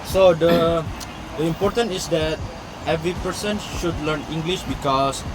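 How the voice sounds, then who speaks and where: A man's voice: on the high side, normal speed. Young Indonesian man on a noisy street. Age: young adult. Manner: hesitant, careful second-language English. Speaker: interviewee. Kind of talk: street interview.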